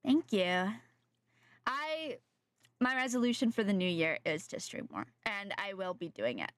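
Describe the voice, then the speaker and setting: Nasal young woman gaming on stream, sore throat, slow chatty rambling, bouncy pitch, dry close sound. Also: animated, no echo. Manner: rambling, self-correcting, chatting to viewers. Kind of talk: casual live-stream chat while gaming.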